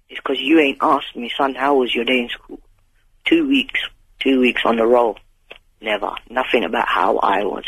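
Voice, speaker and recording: A man's voice: mid-range voice, vocal fry, fairly bright tone, breathy edge, dry recording. A fifteen-year-old male caller with a London accent, talking candidly in emphatic slang and repeating his phrases, on a radio phone-in. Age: 15, teenager. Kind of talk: candid informal conversation. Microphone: telephone. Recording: phone call on talk radio.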